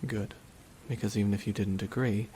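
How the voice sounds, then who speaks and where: A man playing a brooding, remorseless villain in a dramatic ASMR roleplay, speaking in a hushed, deliberate way with long pauses. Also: lowish voice, lightly acted. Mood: curious, somber. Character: brooding, remorseless male villain at his dying nemesis's side. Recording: steady rain noise behind the voice. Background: rain falling on a surface.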